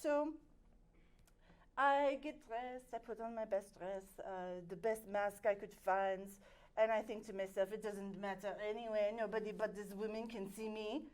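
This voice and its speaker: A woman's voice: mid-range voice, steady tone, a little slow, darkish tone, natural voice, low-quality recording. A woman playing a vain aristocratic lady with a French accent, telling a dramatic story with many fillers. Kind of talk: improvised comedy scene.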